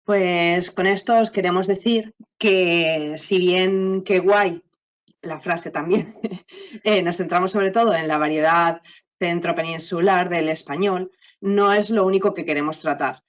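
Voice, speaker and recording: A woman with a lowish voice explains a textbook's approach at length, leaning on small fillers as she goes. The sound is dull and muffled, like a video call. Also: fairly even intonation, unhurried. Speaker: textbook co-author. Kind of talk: online panel talk.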